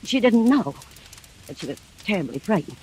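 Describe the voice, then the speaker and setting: An actress plays an anxious woman caregiver in an old radio mystery. She testifies earnestly and deliberately, ready to accuse, over a faint layer of background noise. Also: mid-range voice, very animated, darkish tone, natural voice. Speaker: witness in a mystery drama.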